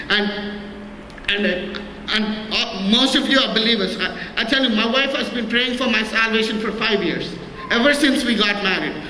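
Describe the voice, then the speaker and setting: A man's voice: very high voice, pushed and bright. A man giving his church testimony, earnest and plain, stumbling and repeating words as he goes. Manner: halting, many restarts and repeated words. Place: church hall. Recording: echoey hall with faint noise.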